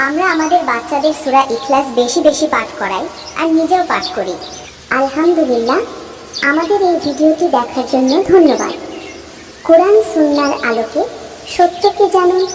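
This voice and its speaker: A woman's voice: sits high, expressive, normal speed, darkish tone. A woman reading scripted narration for an Islamic children's cartoon, in an echoey recording with faint noise.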